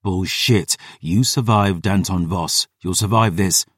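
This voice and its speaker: A man playing a hard-bitten, stubborn, foul-mouthed fighter in an audiobook, gruff and blunt. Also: low-pitched, unhurried, dry recording, studio quality. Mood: urgent, reassuring. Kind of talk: audiobook character voice.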